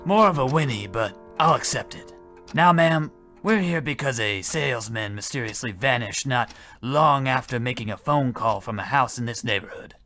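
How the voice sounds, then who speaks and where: Officious male cop with a bright, forceful mid voice, played by an actor, faint music, radio comedy. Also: medium pitch, animated, normal speed. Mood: official, dry. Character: brisk, officious police detective questioning a suspect. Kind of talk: old-time radio comedy acting.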